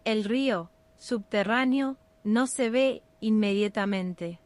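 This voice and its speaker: A woman with a Rioplatense Spanish accent narrating a scripted bedtime meditation in a soothing, unhurried, measured ASMR style. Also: medium pitch, a little mellow, dry recording.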